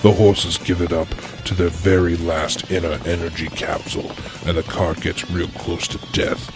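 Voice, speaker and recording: A man with a very low voice narrates a comic adventure story. His delivery is deadpan and full of gravelly fry. Music plays clearly behind him. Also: normal speed. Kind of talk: comedic scripted story narration.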